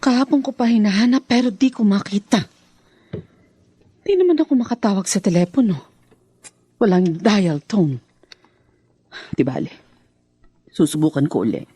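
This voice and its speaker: A woman's voice: medium pitch, animated, normal speed, pauses often, dark tone, breathy edge, dry recording. A trapped, frightened young woman. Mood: frustrated. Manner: pleading and agitated. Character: young woman held captive, frightened and scheming. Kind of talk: radio drama acting.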